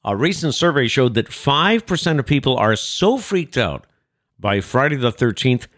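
A man's voice: mid-range voice, very animated, moderate pace, a bit bright. A middle-aged man with a nasal, congested voice, hosting a casual morning show and reading the rundown. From South Coast Massachusetts. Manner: chatty, reads segment rundowns. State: congested from a cold. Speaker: show host. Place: small studio.

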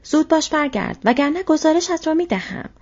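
Woman doing a hoarse, bullying orc soldier. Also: medium pitch, expressive, darkish tone, exaggerated voice. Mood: shouting threats. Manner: barking, menacing. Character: big bullying male orc soldier, threatening and short-tempered. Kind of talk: audiobook character voice. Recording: dull, muffled lo-fi audio.

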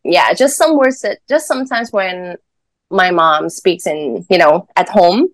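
A woman's voice: lowish voice, measured pace, no echo. A woman from Macau, a podcast guest, chatting cheerfully and easily, laughing often. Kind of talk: casual interview chat.

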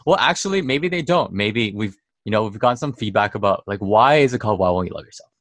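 A man's voice: on the low side, animated, fast talker, a bit bright, no echo. A young man co-hosting a podcast in casual chat, playful and laughing often, whose first language is Chinese. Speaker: podcast co-host.

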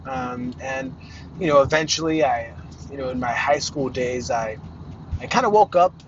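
A man's voice: on the high side, very animated, moderate pace, vocal fry, muffled recording, slight background noise. A man around thirty, raised in Arizona, hosting a casual solo podcast and rambling with lots of fillers and restarts. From Arizona, United States. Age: 30s. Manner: rambling, many fillers and restarts, self-deprecating. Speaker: podcast host.

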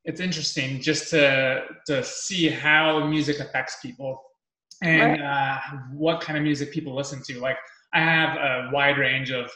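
Fast-talking man in his thirties. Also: mid-range voice, steady tone, pushed and bright, reverberant, low-quality recording. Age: 30s. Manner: fast, rambling, with many fillers and restarts. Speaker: podcast host. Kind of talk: casual interview.

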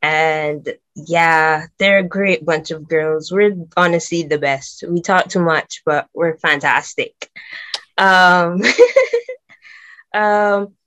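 A young woman from Barbados, chatting excitedly as a guest on a video call. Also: lowish voice. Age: young adult. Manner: bubbly, excitable, laughs often. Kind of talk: casual video-call chat.

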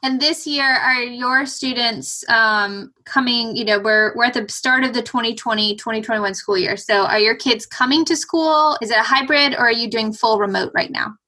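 A woman's voice: a little high, moderate pace, hardly any pauses, bright, forceful tone. A woman hosting an interview, asking questions in a friendly, encouraging way. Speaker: podcast host. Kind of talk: casual interview.

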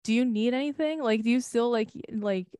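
A woman's voice: a little high, brisk, dark tone, clear, resonant tone, no echo. Candid young woman, dry close sound. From United States. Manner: candid and rambling, swearing and laughing often. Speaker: podcast co-host. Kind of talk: casual chat.

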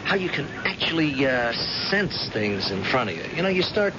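A man's voice: medium pitch, big pitch swings, normal speed, lightly acted. An actor playing a stubborn blinded police captain and protective father in a radio drama, speaking insistently with hesitant starts. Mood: proudly confident. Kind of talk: old-time radio drama acting. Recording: noisy, muffled old radio recording.

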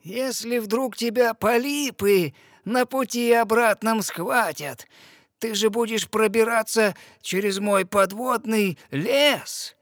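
A man voicing a cunning, ruthless female sea witch in a verse fairy-tale audio play, coaxing and deliberate. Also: sits high, fairly bright tone, no echo, studio quality, voice pushed up, full character voice. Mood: commanding. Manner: slow, drawn-out, deliberate coaxing delivery.

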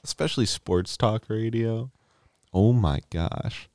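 Low gravelly male radio host. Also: takes it slowly, darkish tone, no room sound, clean studio sound. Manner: rambling, joking, self-deprecating. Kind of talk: casual radio chat.

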